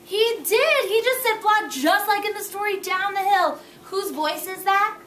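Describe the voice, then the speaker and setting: A woman's voice: very high-pitched, measured pace, fairly bright tone. A woman teaching young children through an interactive read-aloud, prompting them with questions in a classroom. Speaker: elementary school teacher. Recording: echoey noisy classroom, far from mic.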